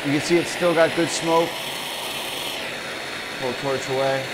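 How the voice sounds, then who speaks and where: A man's voice: medium pitch, steady tone, unhurried, very noisy. A man in his thirties, a plumber, casually talking through a hands-on demonstration at a workbench, plain and step by step with fillers. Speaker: plumbing instructor. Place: indoor workshop bench.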